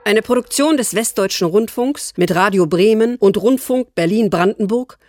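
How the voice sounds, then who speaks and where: A woman announcer reads scripted credits for a radio drama. Her voice is medium in pitch. Orchestral music with violin plays clearly behind her. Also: normal speed, darkish tone, no echo, studio quality.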